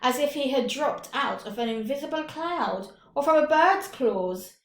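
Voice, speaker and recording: A woman reading a short story aloud with deliberate, dramatic phrasing and pauses. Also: high-pitched, lively intonation. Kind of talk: expressive scripted story narration. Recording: roomy, echoing room.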